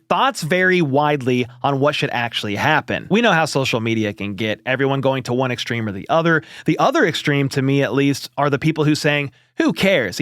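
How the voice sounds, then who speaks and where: A man's voice: a little high, fairly bright tone, no echo, studio quality. A man hosting a football news show, giving conversational, opinionated commentary in a casual style.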